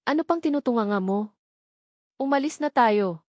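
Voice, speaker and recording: A woman's voice: fairly high pitch, lively intonation, normal speed, dry recording, studio quality, close to an everyday voice. A woman voicing a gossipy, bossy but loyal best friend with slangy, urgent delivery in a story narration. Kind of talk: dramatized novel narration.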